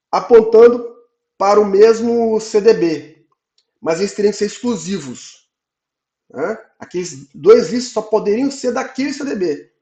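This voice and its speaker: Hoarse male instructor, higher pitched, explains patiently; roomy recording. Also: dark tone. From Brazil. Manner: explains in repeated checks, ending phrases with "tá?". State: hoarse from a cold. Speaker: database instructor. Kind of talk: online technical lecture.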